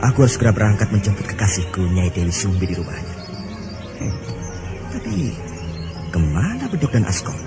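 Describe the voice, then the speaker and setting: Male, actor as theatrical nobleman, deep voice, lively intonation, loud music behind. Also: brisk, pauses often. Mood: purposeful. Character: purposeful nobleman off to meet his sweetheart. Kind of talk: Indonesian audio drama acting.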